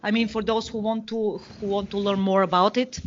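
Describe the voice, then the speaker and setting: A woman's voice: mid-range voice, steady tone, a little fast. A woman from Bulgaria with a Bulgarian accent, speaking as a guest analyst on a podcast and talking with many fillers and restarts. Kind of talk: podcast interview, explaining. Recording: dull lo-fi call audio.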